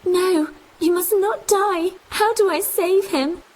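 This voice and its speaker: A woman playing a gentle, kind-hearted young fairy-tale heroine in an animated storybook, earnest and expressive, with music behind her. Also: very high-pitched, takes it slowly, poor audio. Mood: pleading. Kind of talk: children's animated storybook acting.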